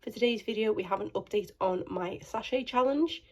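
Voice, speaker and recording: A woman beauty vlogger, croaky from a cold, chatting casually to a handheld phone. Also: medium pitch, fairly quick, a little mellow. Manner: apologetic, chatty, rambling. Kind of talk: casual chat to camera. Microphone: phone held in hand.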